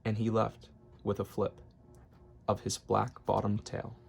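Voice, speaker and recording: A man's voice: lowish voice, completely flat delivery, measured pace, creaky, over music. Man reading a rhyming storybook. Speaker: storybook reader. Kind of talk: expressive read-aloud of rhyming children's story.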